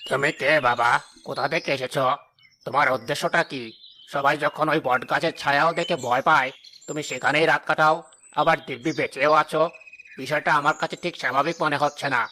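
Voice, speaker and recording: Man playing a bright, forceful village headman. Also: a little high, fairly even intonation, fairly quick. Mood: suspicious, questioning. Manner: questioning, formal. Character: suspicious village headman, an authoritative father. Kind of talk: cartoon voice acting.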